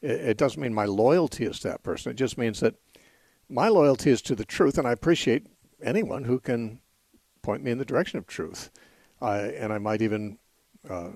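A middle-aged man hosting a call-in radio show on the Bible, thoughtful, restarting sentences, briskly moving callers along. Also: fairly low pitch, a little mellow, husky, no echo. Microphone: studio microphone.

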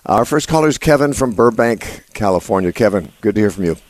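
A man hosts a live call-in Bible program, his voice unusually deep today from congestion. He explains scripture in a halting way, with many fillers and restarts. The sound is close and dry, with no room echo. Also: animated. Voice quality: usually a high nasal voice. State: congested, voice lower than usual. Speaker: radio show host.

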